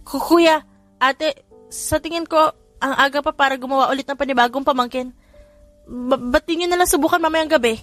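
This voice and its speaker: A Filipino woman voicing a flustered, teasing younger sibling in a comic audiobook romance, excitable in manner. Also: high voice, moderate pace, pauses often, no echo, voice pushed up. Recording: music under the voice.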